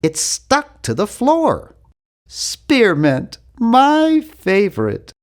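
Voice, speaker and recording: A man's voice: high voice, wildly swooping pitch, very slow, heavily acted. An actor playing a nerdy, earnest, awkward young man in an adventure game, with a geeky, fussy, wryly polite delivery. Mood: matter-of-fact, fussy. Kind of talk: scripted video game voice lines.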